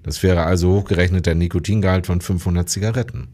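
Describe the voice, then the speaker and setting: An actor playing an obliging, matter-of-fact police detective in a radio crime drama, precise and informal. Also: male voice, sits low, fairly even intonation, fast, mellow, lightly acted.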